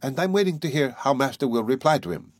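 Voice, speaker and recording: A man's voice: mid-range voice, lively intonation, fast talker, no room sound, pitched above the performer's own voice, natural voice. A man doing a meek, deferential manservant. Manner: soft, polite, mild.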